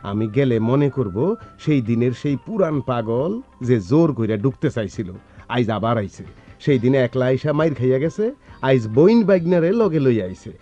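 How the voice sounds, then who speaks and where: A man's voice: mid-range voice, expressive, normal speed, mellow, natural voice. A man playing an eccentric, devoted older brother in a radio drama, emphatic and pleading, in a rural Bangladeshi Bengali dialect. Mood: wary, urging. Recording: dull lo-fi radio recording with music.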